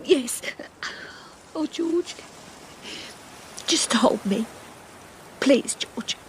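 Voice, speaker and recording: Casting: young romantic lead in radio drama, wistful and emotional, raised pitch, noisy track. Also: female voice, very slow. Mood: tearful, pleading.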